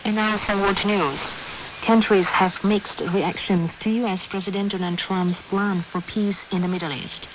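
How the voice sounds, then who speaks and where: A woman's voice: mid-range voice, steady tone, moderate pace. A woman reading formal scripted news as a radio newsreader, heard over shortwave radio. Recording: noisy, hissy shortwave radio broadcast.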